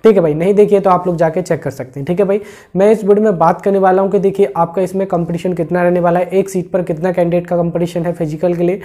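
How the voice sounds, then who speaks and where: A man talks quickly in a soft, mellow tone. He explains things informally like an online coaching host, circling back over each point and checking that listeners follow. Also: sits high, fairly even intonation. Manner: repetitive, with frequent check-in tags. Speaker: exam-update YouTube host. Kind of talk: informal explanatory exam briefing.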